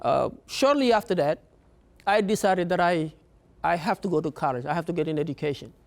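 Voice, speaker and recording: Young african guy, pretty high voice, earnest, keeps restarting his sentences. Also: lively intonation, vocal fry. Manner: earnest, halting, repeats words. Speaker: speaker. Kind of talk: personal story talk.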